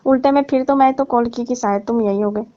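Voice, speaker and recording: A woman's voice: on the high side, a little fast, dark tone, no echo, natural voice. Woman playing needy flirtatious aunty, muffled call. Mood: pleading. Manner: pleading, complaining, suggestive. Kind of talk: staged phone-call skit, casual Hindi chat. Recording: dull, lo-fi call recording.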